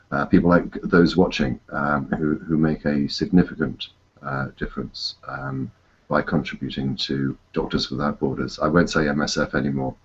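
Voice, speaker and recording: A man with a husky voice speaking as an interview guest, explaining in a measured, polite way with hesitant pauses over dull lo-fi call audio. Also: rumbling low. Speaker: podcast guest, charity fundraiser.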